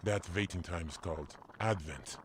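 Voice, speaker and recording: An actor playing a kindly, patient German village priest in a family audio drama, gentle and measured in manner. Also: male voice, sits low, a little hiss or hum, close to an everyday voice. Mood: warmly explaining. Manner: gentle, measured, formal, explanatory.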